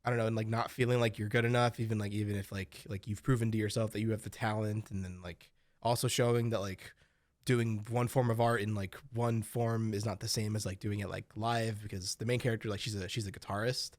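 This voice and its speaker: Rambling young man, low dry voice. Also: level tone, a little fast, no room sound. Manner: rambling, heavy on fillers and swearing. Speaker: podcast co-host. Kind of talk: casual chat.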